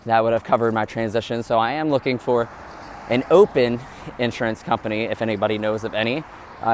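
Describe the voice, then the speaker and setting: A man's voice: fairly low pitch, a little hiss or hum. A man talking candidly and earnestly to his viewers in a casual vlog monologue. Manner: earnest, open, addresses viewers directly. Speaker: vlogger.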